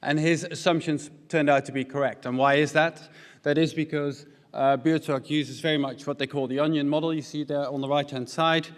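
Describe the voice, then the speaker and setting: A man's voice: on the high side, steady tone, normal speed. A middle-aged man from the Netherlands with a Dutch accent, giving a conference presentation at podium mics in a large hall, explaining with frequent ums and uhs. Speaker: conference speaker. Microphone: stand mics at a podium. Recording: long hall reverb.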